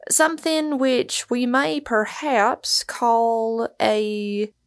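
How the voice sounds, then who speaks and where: A woman voicing a refined, pedantic American male doctor in a storybook, fastidious and deliberate, with careful pauses. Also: fairly high pitch, little pitch movement, voice pushed up.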